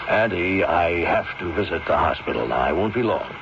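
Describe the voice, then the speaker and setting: Grave, steady doctor, low gravelly voice, muffled old radio. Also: male voice, noisy background. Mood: firm, protective. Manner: firm, measured. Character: steady, protective older family doctor, firm and grave. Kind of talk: 1970s radio drama acting.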